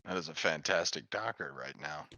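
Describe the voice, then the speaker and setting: A man's voice: a bass voice, moderate pace, gravelly, pushed and bright, slight background noise. A man playing a tabletop roleplaying game, chatting in casual banter with the table.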